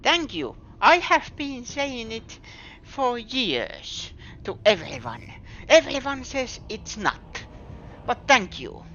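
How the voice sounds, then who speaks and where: Slow, lively Finnish woman streamer. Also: a little high, highs cut off, slight background noise. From Finland. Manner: halting, searching for words. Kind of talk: casual live-stream chat while gaming.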